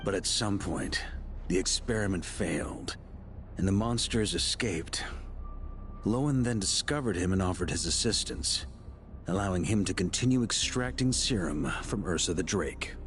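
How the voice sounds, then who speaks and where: A composed game investigator with a very low voice. He lays things out in a measured, analytical and matter-of-fact manner, with faint music underneath. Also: animated, fairly bright tone. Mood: composed, explanatory. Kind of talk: video game voice acting.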